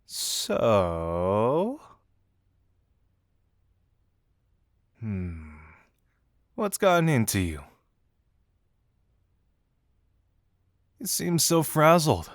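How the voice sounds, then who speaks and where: A man's voice: medium pitch, wildly swooping pitch, very slow, dry recording, studio quality, heavily acted. A man acting a playful, teasing young male detective in an audio roleplay, musing theatrically to the listener. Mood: curious, amused. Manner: teasing, theatrical, musing hums and soft chuckles.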